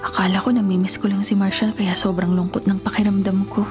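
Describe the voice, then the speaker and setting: A woman's voice: medium pitch, flat delivery, fairly quick, over music, natural voice. A woman playing a lovesick young daughter of a rich family in a Filipino radio drama, speaking in a plaintive, earnest way. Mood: wistful, longing. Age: young adult.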